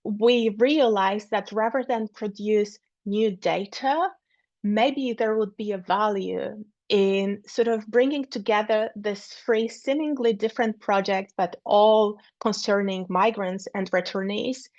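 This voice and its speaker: A woman giving an academic talk over a video call, halting often with fillers and repeated words. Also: mid-range voice, fairly even intonation, a little mellow, no echo. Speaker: academic speaker. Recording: dull lo-fi sound.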